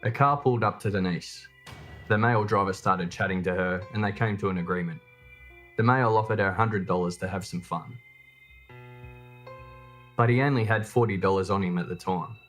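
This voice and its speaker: A man's voice: low-pitched, brisk, pauses often, fairly bright tone, soft background music. A man reading a scripted true crime documentary narration as its host.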